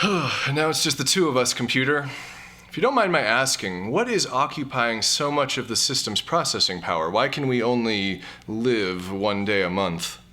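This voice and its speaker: A man's voice: medium pitch, animated, normal speed, bright, forceful tone. A man playing an awkward, melancholy, exasperated character in an audio comedy-drama, with a hesitant, stammering delivery.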